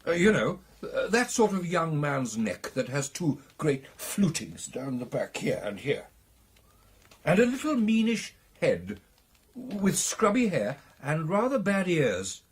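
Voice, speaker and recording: An earnest, genial British club gentleman in a radio play. His husky voice sits a little high and swings widely in pitch. The phrasing is clubby and old-fashioned, suited to telling a tale. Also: sing-song, slow, heavily acted. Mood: intent storytelling. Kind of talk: radio drama acting.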